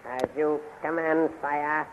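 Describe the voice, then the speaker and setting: A man's voice: a little high, steady tone, slow, slight background noise. A man playing an obedient, deferential army officer in a 1930s radio serial, on a dull old recording.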